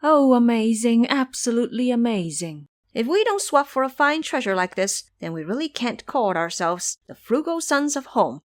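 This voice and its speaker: An excitable little demon imp from an audio storybook, acted out by a woman, pitched high and swooping. He is gullible and easily awed, full of wide-eyed questions and exclamations. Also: moderate pace, few pauses, no echo. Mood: delighted.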